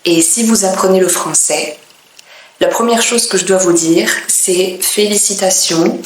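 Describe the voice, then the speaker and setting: A woman from France, a French teacher, speaking in a clear, deliberate way with pauses between phrases. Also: medium pitch, reverberant, background noise. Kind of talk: slow, careful teaching monologue.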